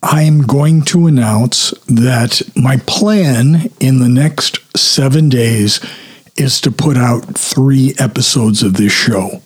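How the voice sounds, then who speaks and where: Slow, raspy-throated man hosting a podcast. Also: medium pitch. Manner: slow, chatty and descriptive. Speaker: podcast host. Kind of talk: unscripted storytelling monologue.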